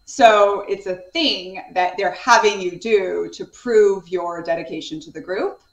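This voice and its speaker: A woman's voice: mid-range voice, a little slow, roomy sound, rough recording. A woman in her thirties, an author talking earnestly and reflectively as a guest on a video call from her home study. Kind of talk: conversational interview.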